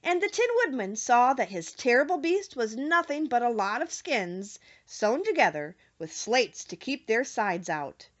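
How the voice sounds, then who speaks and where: Vrouwelijke voorlezer met hoge, levendige stem. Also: female voice, fairly high pitch, sing-song, a little slow, few pauses, fairly bright tone, lo-fi sound. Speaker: audiobook narrator. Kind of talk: scripted storybook narration.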